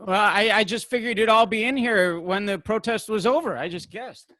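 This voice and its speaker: Man acting a high-pitched, bumbling, excitable activist. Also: normal speed, dry recording. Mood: excited, rambling. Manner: rambling, excitable, stammering delivery. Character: bumbling, impulsive, sheepishly defiant young male activist. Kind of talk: comedic stage acting over video call. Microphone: video call microphone.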